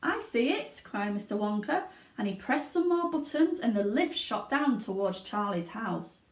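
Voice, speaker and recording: A woman reading a children's story aloud in an expressive storytelling style. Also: medium pitch, moderate pace. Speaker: teaching assistant reading aloud. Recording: roomy echo, distant from the mic.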